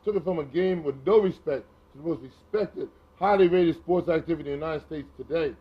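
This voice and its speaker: A man's voice: on the high side, brisk, slightly rough. A man making emphatic remarks at a press conference. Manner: emphatic, says he has a loud voice. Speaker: fellow speaker at press conference. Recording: muffled lo-fi recording.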